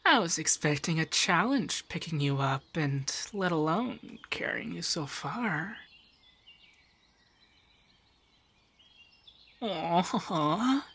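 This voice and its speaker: A man voicing an affectionate, teasing femboy monster companion in a soft, breathy, shyly stammering ASMR roleplay. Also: on the high side, lively intonation, takes it slowly, slight background noise, heavily acted. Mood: tender, reassuring.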